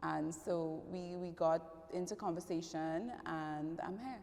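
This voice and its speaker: A woman with a Trinidadian accent answers interview questions conversationally. Her voice is notably low for a woman, and it rings with the long reverb of a big hall. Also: fairly even intonation, a little slow, gravelly, darkish tone. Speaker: interview guest, fashion and public relations professional. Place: large echoing indoor event venue.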